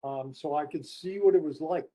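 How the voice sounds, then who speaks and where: Male panelist, fairly high but mellow husky voice, halting, reflective, anecdotal. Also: a little hiss or hum, rough recording. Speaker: panelist, experienced change practitioner. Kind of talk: unscripted discussion, telling anecdotes.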